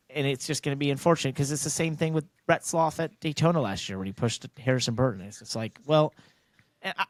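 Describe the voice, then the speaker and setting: A man's voice: medium pitch, a bit bright, no room sound. An American man hosting a casual sports podcast, talking bluntly and emphatically in long, rambling turns. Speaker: podcast host, racing driver.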